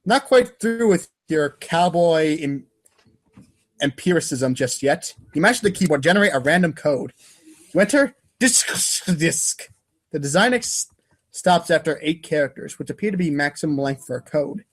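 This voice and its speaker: A man's voice: fairly high pitch, lively intonation, normal speed. A man narrating a webcomic readers' theater script in a wry way, now and then tripping over the text. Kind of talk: dramatic reading of webcomic narration.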